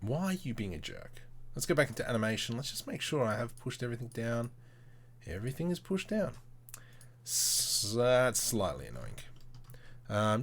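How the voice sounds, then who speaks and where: A man hosting a casual live software tutorial, thinking aloud in short, informal, slangy step-by-step phrases. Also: mid-range voice. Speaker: tutorial host, livestreamer. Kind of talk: casual live screen-share tutorial commentary.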